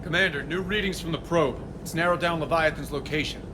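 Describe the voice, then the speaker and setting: A man playing a friendly male pilot reporting in a casual, relaxed way. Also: on the high side, quick pace, lightly acted. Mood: easygoing. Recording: clear background noise.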